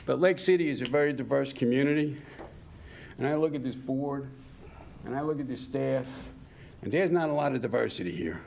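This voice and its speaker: A man addresses a college board during public comment, pointed and measured in his criticism. His voice has a gravelly edge, and a faint hiss sits under the room mic. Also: medium pitch, lively intonation, a little mellow.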